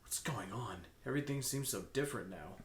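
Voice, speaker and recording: A man's voice: mid-range voice, animated, a little fast. A man reading a story aloud as an amateur, breaking off for casual joking asides. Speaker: co-host reading fan fiction aloud. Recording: roomy, echoing room sound.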